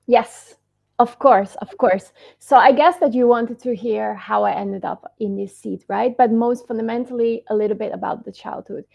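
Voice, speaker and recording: A woman's voice: a little high, moderate pace, gravelly, a little mellow. A woman from the Netherlands with a Dutch accent, a guest answering interview questions thoughtfully and reflectively, often hedging with "I guess". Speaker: guest, startup founder. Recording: muffled lo-fi audio.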